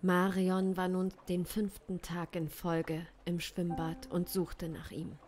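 A woman in her thirties improvising crime narration in a measured, serious way, close on a studio microphone. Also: fairly low pitch, monotone, a little mellow, slight background noise. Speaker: improvising audio-drama narrator. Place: small studio room. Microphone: close studio condenser mic. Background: faint music.

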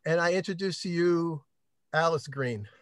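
An older man hosting a library talk over a video call from home, reading a guest introduction with brisk, dry humor. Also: fairly high pitch. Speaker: event host introducing the speaker. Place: home room with bookshelves. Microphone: video call webcam.

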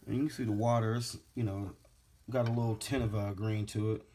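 A man in his forties with a fairly low voice chats loosely as he cooks in his home kitchen, sounding slightly congested. He is recorded on a phone camera, with clear background noise around him. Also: fairly even intonation, brisk. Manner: laid-back, rambling, says "man" and "bad boy" a lot. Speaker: home cook vlogger. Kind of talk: casual how-to vlog.

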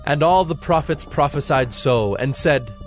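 A man narrating scripture from a script in a measured, formal reading style. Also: fairly high pitch, lively intonation, soft background music.

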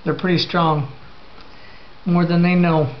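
A man's voice: fairly high pitch, flat delivery, takes it slowly, slight background noise. A man in his thirties answering interview questions at home in short replies. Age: 30s. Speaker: soldier parent. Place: home room, indoors. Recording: lo-fi home camera audio, slightly distant.

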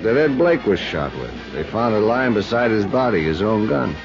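An actor playing a world-weary, bitter man who speaks slowly in a 1950s radio drama, on an old recording. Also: lowish voice, very animated. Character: bitter lovesick man, cynical and brooding. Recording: lo-fi vintage radio with music.

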